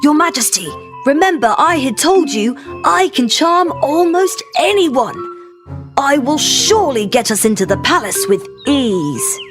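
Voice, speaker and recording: A polite, eager young charmer from a storybook, voiced by a woman. The voice is fairly high and animated, flattering and unhurried, with music behind. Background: clear music.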